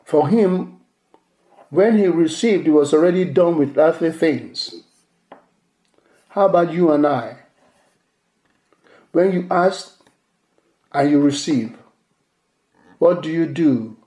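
A male pastor whose first language is Yoruba preaches a sermon in a measured way, repeating key phrases for emphasis. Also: on the high side, mellow. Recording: echoey room.

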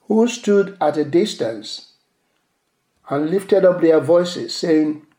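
A male pastor whose first language is Yoruba preaches a sermon in a measured way, repeating key phrases for emphasis. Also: on the high side, warm tone. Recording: echoey room.

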